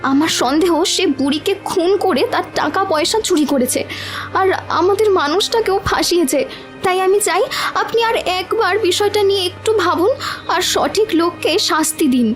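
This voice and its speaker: A woman's voice: very high-pitched, moderate pace, soft background music. A woman voicing a desperate village wife in a cartoon, pleading insistently and deferentially. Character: desperate, pleading village wife defending her jailed husband. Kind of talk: cartoon voice acting.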